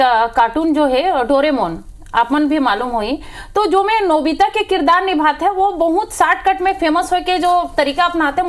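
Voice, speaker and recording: A woman with a very high voice and a Chhattisgarhi accent argues in a heated political debate. She is combative and insistent, hammering her points through repetition like a party spokesperson on a panel. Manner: combative, insistent, repeats phrases for emphasis.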